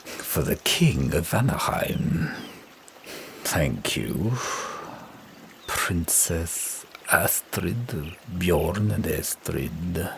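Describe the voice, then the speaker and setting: An actor voicing gruff, weary Viking men in an audiobook, proud and sardonic, speaking slowly in a gravelly voice. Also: male voice, sits low, expressive, fairly bright tone, a little hiss or hum, exaggerated voice. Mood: proud, dry. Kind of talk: audiobook character dialogue.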